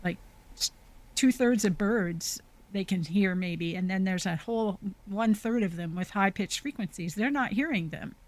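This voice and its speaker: A woman's voice: mid-range voice, normal speed, darkish tone, poor audio. A woman hosting a podcast, speaking earnestly and reflectively in a casual conversation over a video call. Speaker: podcast host.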